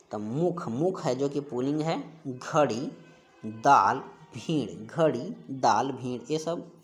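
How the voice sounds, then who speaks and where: Man teaching grammar, steady explaining. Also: mid-range voice. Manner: explains steadily, repeats key words and answers. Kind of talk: exam-prep grammar lesson.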